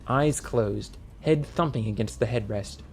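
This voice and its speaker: A man narrating a comic fantasy audiobook in an expressive storytelling style. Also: mid-range voice, measured pace, slight background noise.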